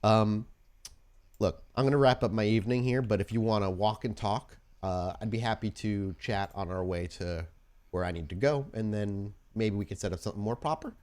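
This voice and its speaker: A man voicing a wary, street-smart vampire woman in a tabletop game, speaking in a casual, blunt, halting way. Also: fairly low pitch, darkish tone, natural voice. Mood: guarded, wry.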